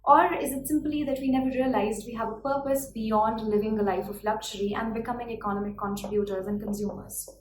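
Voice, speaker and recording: A woman's voice: a little high, steady tone, fairly quick, few pauses. A woman of twenty with an Indian accent, delivering a prepared, earnest speech full of rhetorical questions. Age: 20s. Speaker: speaker. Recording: echoey room, faint background noise.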